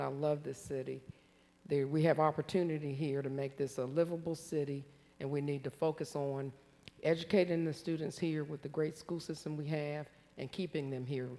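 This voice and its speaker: A man's voice: a little high, mellow, in a large hall. A man in his sixties from Virginia, giving an earnest campaign speech at a candidate forum, with some restarts and fillers. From Hampton, Virginia. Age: 60s. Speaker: city council candidate. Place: community forum hall.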